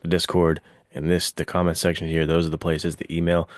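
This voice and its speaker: A man's voice: low-pitched, completely flat delivery, fairly quick, no room sound, clean studio sound. A man co-hosting a podcast in casual chat, speaking encouragingly and directly to listeners. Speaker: podcast co-host.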